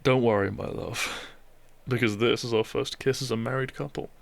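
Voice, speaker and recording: A man playing a devoted, tender doctor and newlywed groom in a romantic audio roleplay, speaking softly and earnestly to his beloved. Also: medium pitch, steady tone, moderate pace, creaky, dry recording, lightly acted. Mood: tender, intimate.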